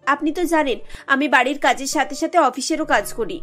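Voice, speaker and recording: A woman's voice: high-pitched, a little fast, fairly bright tone, soft background music. A woman voicing a harried young working daughter-in-law in a cartoon, flustered and given to whining complaint. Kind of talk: animated cartoon dialogue.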